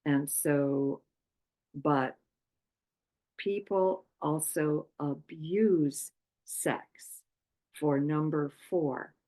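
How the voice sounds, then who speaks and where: Slow, low-voiced woman teaching a workshop. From Poland. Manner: slow, halting, with many pauses and fillers. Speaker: chakra workshop presenter. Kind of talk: unscripted spiritual talk.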